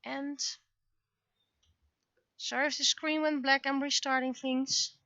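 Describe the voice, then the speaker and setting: High-pitched woman streamer, muffled lo-fi audio. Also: flat delivery, pushed and bright, dry recording. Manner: muttering to self, halting, thinking aloud. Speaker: livestreamer, webcomic artist. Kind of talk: casual livestream chat.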